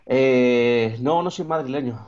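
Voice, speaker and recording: A man's voice: mid-range voice, takes it slowly, darkish tone, highs cut off. A man in his thirties with an Extremadura Spanish accent, a guest talking in an interview, hesitant, with many restarts and fillers. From Extremadura, Spain. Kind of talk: remote interview conversation.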